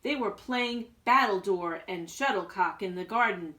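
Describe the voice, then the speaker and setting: A woman's voice: mid-range voice, measured pace, bright, forceful tone. A woman reading a children's storybook aloud with dramatic emphasis, like a school principal addressing young listeners.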